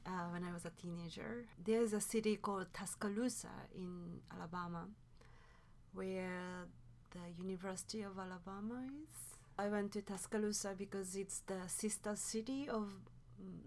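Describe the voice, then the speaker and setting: Soft-spoken woman with a Japanese accent. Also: mid-range voice, steady tone, normal speed, slight background noise. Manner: hesitant, self-correcting, soft asides. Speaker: podcast guest. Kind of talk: casual conversational storytelling.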